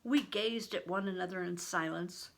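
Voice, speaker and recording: A woman's voice: on the low side, normal speed, fairly bright tone. An older woman, a retired reading teacher, narrating a storybook expressively and with a teacherly style.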